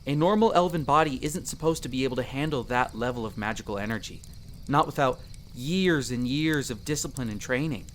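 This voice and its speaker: A man's voice: medium pitch, a little fast, slight background noise, natural voice. A man playing a friendly young elf explorer in a roleplay audio drama, talking conversationally and earnestly to the listener in a self-deprecating way. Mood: modest, explaining. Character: friendly young male elf explorer, modest half-outsider mage.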